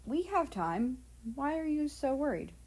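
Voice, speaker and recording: A shy teenager, read by a woman in an audiobook-style story. The voice is anxious and unsure of itself, lifted a little in pitch. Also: big pitch swings, measured pace, a little mellow, heavily acted. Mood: puzzled, hesitant. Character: shy, anxious, self-doubting deaf teenager.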